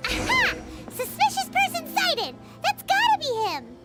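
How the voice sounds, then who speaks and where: A woman's voice: wildly swooping pitch, unhurried, full character voice. A woman voicing a small, perky, bossy fairy-like companion in a video game, with a childish, exclamatory delivery. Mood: alert, eager. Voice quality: squeaky, childlike. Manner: cutesy, exclamatory. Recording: game music behind the voice.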